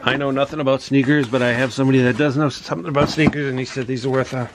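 A middle-aged man giving a casual, halting show-and-tell in a small indoor room on a handheld camera. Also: mid-range voice, fairly even intonation. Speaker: reseller showing storage unit finds. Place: small cluttered home office, indoors. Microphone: handheld phone or camera. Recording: noisy handheld home recording.

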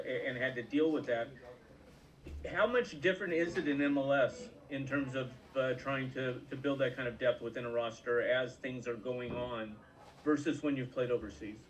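A man's voice: mid-range voice, fairly even intonation, normal speed, poor audio. Man asking long rambling questions. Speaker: sports reporter. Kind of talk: press conference question.

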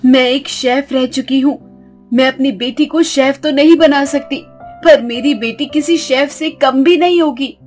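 A woman's voice: sits high, measured pace, darkish tone, soft background music. A woman voicing a confident, protective mother, firm and reassuring, in a moral story. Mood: determined.

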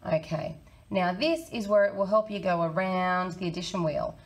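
A woman in her thirties with a croaky, hoarse voice, teaching a children's maths lesson in a friendly, encouraging way. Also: on the low side, moderate pace. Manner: friendly, encouraging, talks to kids. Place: small indoor room.

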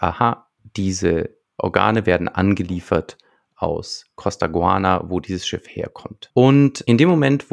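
Man in his thirties, low voice, gravelly fry, conversational podcast recap, close microphone. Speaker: podcast co-host. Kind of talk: conversational recap of a radio play. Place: small room at home. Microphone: close desktop microphone.

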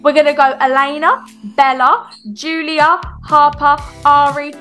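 A woman playing a brisk, encouraging but strict children's swimming coach in an online game roleplay, giving instructions and repeated reassurance. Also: sits high, steady tone, pushed and bright. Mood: instructive. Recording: music behind the voice.

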